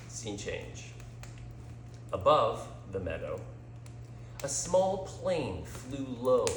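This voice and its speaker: Slow, expressive man storyteller in echoey room. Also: fairly low pitch, a little hiss or hum. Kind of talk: scripted storybook narration.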